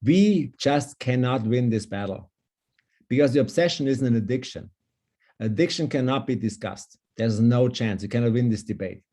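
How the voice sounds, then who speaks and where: A man's voice: medium pitch, normal speed, dark tone. A man with a German accent, speaking as a guest longevity coach in an online panel discussion, and he talks in an enthusiastic, emphatic way. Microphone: video call.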